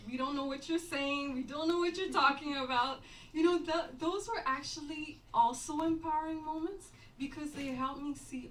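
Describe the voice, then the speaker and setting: A woman's voice: high voice, slight background noise. A woman choreographer chatting earnestly at a post-show talkback, full of fillers like "um" and "you know". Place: performance venue. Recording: distant mic, faint ambience.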